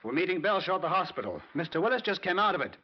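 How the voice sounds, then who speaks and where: An American man playing a brisk, no-nonsense colleague in a vintage radio crime drama. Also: sits high, sing-song. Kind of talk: 1940s-50s American radio drama acting. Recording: muffled old radio recording, faint noise.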